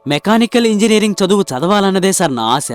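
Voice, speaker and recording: A man plays an earnest young inventor in a cartoon moral tale. His voice sits high for a man and he explains himself with hopeful sincerity. Faint music plays underneath. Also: expressive, fast talker. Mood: earnest, respectful. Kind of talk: dramatised cartoon moral story dialogue.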